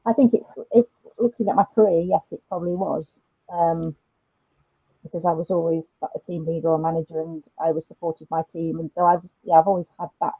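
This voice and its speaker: A woman guest in a casual video call chat, talking quickly and haltingly about herself. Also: deep voice, steady tone, breathy edge, dry recording, phone-quality sound.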